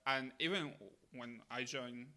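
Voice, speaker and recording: Bright-voiced young man from Argentina. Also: medium pitch, measured pace. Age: late 20s. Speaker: podcast guest, missionary. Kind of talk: casual interview answers.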